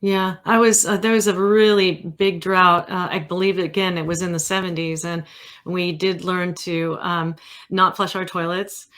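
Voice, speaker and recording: A woman's voice: on the low side, level tone, moderate pace, fairly bright tone. A middle-aged or older woman from California with an American accent, hosting an interview in a casual, hesitant, rambling way. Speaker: interview host.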